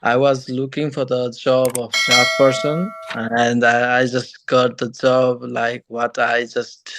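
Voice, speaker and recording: A young man from Nepal with a Nepali accent, answering interview questions in halting, non-native phrasing. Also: mid-range voice, flat delivery, very noisy. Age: young adult. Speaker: guest, engineering graduate and former student.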